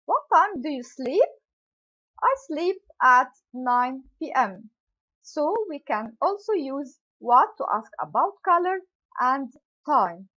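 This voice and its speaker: Slow, lively-pitched woman teacher. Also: muffled recording. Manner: slow, careful explaining with example questions and answers. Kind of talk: English grammar lesson.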